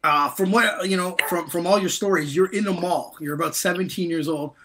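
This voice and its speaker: A man's voice: sits high, fairly quick, background noise. A man co-hosting a casual podcast, asking questions with enthusiasm. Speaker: podcast co-host.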